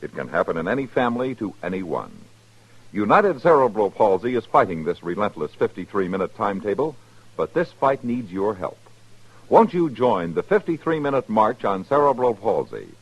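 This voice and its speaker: A man's voice: fairly low pitch, very animated, normal speed, husky, dry recording. A man reading a scripted old radio charity appeal in an earnest, emphatic announcer's manner. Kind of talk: 1950s radio public service announcement. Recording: dull, lo-fi vintage radio recording.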